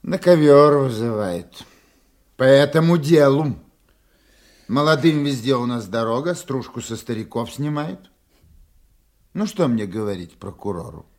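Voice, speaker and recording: A male actor plays an older, authoritative police colonel in a radio drama. His voice sits at medium pitch with very mobile intonation. He explains things firmly and exactingly, with a dry, wry edge. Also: animated, a little slow, long pauses, darkish tone, natural voice. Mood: wry, aggrieved. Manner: explanatory, firm, slightly wry. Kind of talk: Russian radio drama acting.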